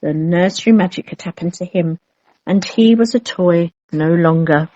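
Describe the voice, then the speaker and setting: Low-voiced woman reading a children's story. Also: normal speed, warm tone, no room sound, highs cut off. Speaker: storybook reader. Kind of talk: scripted children's story narration.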